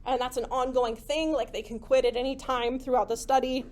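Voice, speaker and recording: A woman giving a classroom lecture in a conversational way, full of "like" and "right?", her voice slightly hoarse from talking. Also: high-pitched, level tone, fairly quick, darkish tone. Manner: conversational, many "like" and "right?" fillers. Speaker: psychology lecturer. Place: lecture room.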